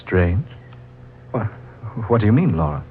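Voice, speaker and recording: An actor playing a genial, folksy, easygoing friend in a 1940s radio drama. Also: male voice, on the low side, big pitch swings, measured pace. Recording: muffled, hissy 1940s radio recording.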